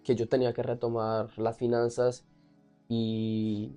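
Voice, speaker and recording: Colombian man, mellow voice, casual storyteller. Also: mid-range voice, completely flat delivery, slow pace, soft background music. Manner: candid, often laughing as he talks. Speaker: interview guest, entrepreneur.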